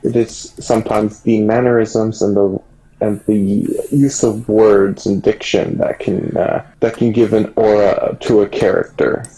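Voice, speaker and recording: A man's voice: fairly low pitch, fairly even intonation, a little slow, warm tone, rough recording. A man chatting casually as a podcast co-host, phrasing his thoughts hesitantly. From Canada. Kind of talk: casual chat.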